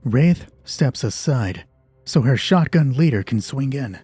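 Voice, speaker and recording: Mellow-voiced man narrating a tabletop game. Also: medium pitch, expressive, measured pace, soft background music. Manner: conversational, thinking aloud with self-deprecating asides. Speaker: solo roleplay game master and host. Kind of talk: narrating a tabletop roleplaying game, explaining rules.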